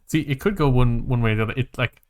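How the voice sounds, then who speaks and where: A man's voice: medium pitch, fast. A man hosting a casual podcast about games and films, thinking aloud in a hesitant, rambling way. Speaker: podcast host.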